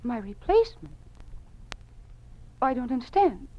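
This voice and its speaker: A woman's voice: sits high, big pitch swings, measured pace, heavily acted. An actress playing an earnest, principled woman schoolteacher, emphatic and dignified, in 1950s radio drama style. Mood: bewildered. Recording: muffled lo-fi old radio broadcast.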